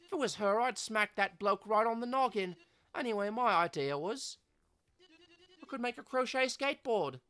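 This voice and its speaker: A woman's voice: a little high, expressive, moderate pace, a bit bright. A woman voicing a cheeky, upbeat teen zombie with a slangy, easygoing way of talking. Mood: chatty.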